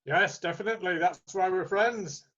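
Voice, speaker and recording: Man doing jokey old fella in a comedy sketch, wry, highish voice, muffled audio. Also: moderate pace, bright, forceful tone. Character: wry, jokey older man chatting with friends. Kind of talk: amateur comedy sketch reading.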